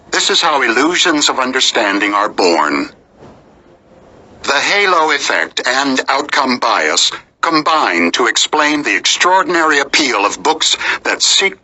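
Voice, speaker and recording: A man reading nonfiction as an audiobook narrator in a measured, articulate, emphatic delivery. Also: mid-range voice, sharp tone, breathy edge, low-quality recording.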